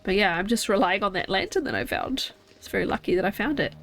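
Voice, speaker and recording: A woman's voice: mid-range voice, sing-song, fast talker, a bit bright, soft background music. A young woman streamer with a congested, stuffy nose, chatting casually and thinking aloud as she plays a game from home. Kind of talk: casual live gameplay chat.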